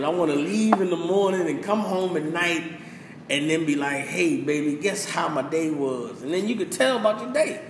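A man who rants passionately as a podcast host, preachy and full of profanity. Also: high-pitched. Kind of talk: casual opinion rant. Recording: echoey room with faint hiss.

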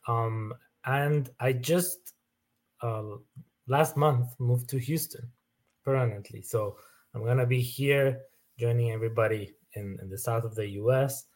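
A man from Colombia answering as an interview guest, relaxed and hesitant with fillers. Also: mid-range voice, a little slow. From Bogotá, Colombia.

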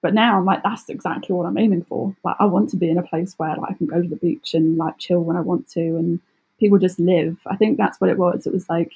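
A British woman speaking casually as an interview guest, chatty and expressive, often acting out little quotes. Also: lowish voice, fast talker, husky, highs cut off. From United Kingdom. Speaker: podcast guest, travel blogger.

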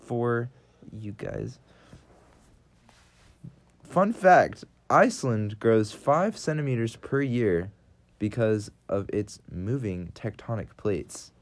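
A man's voice: medium pitch, lively intonation, takes it slowly. A man co-hosting a comedy podcast, talking in a hesitant, filler-heavy way and often breaking into giggles. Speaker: podcast co-host. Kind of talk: comedy sketch and casual podcast chat.

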